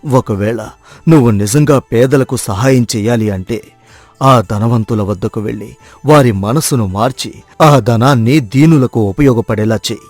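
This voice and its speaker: A man's voice: medium pitch, normal speed, darkish tone, no room sound, faint music behind, natural voice. A man voicing a grave, wounded old father who admonishes in a dramatized moral story. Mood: calm, advising.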